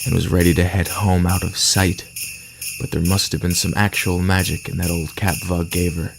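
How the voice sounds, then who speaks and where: A man playing a theatrical narrator, telling a rhyming story in a radio play. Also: low voice. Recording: clearly noisy background.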